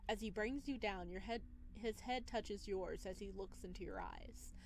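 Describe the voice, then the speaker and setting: A woman doing casual, excitable let's play commentary and reading a visual novel aloud. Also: mid-range voice, moderate pace, vocal fry, a little hiss or hum. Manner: expressive, excitable. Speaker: gaming video creator.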